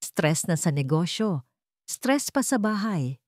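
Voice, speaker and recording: A woman's voice: on the low side, very animated, slow pace, darkish tone, no room sound, clean studio sound. A woman voicing a scheming male friend who sounds chummy and falsely sympathetic in an audio love story.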